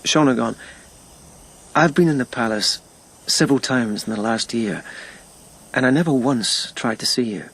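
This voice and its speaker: A reserved, thoughtful police lieutenant of ancient Japan. His voice is mid-pitched and husky. He tells things reflectively, in short halting phrases, in a naturalistic radio drama style. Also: lively intonation, a bit bright. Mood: guarded, hurt.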